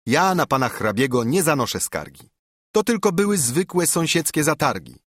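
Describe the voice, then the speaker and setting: Guy doing a country judge, nobleman, indignant and arguing his case, lively pitch, very dry recording. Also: moderate pace, no room sound. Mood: pleading, reasoning. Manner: argumentative, protesting.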